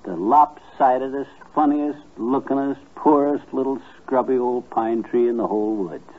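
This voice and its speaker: A man's voice: medium pitch, moderate pace, natural voice. An actor playing a kindly, folksy man telling stories to a child in homespun, hesitant speech, in 1950s radio comedy style. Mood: folksy, warm. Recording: muffled vintage 1950s radio recording.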